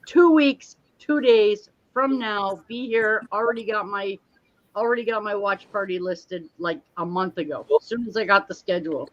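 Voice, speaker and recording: A woman hosting a casual live-streamed watch party, chatty and quick to laugh. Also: mid-range voice, muffled recording. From Canada. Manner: chatty, laughs often, repeats herself.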